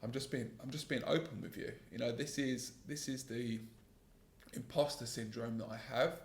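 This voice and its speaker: A man in his thirties hosting a solo podcast, talking in a calm, earnest, self-critical way about his work as a sales trainer, with a gravelly voice. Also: medium pitch, moderate pace, echoey room. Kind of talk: solo reflective podcast monologue.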